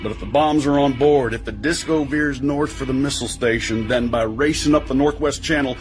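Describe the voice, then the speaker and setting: A confident, wisecracking American agent played by a male actor in a radio thriller. His voice is mid-pitched and colloquial, with faint music behind it. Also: a little fast, natural voice. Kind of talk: radio drama acting.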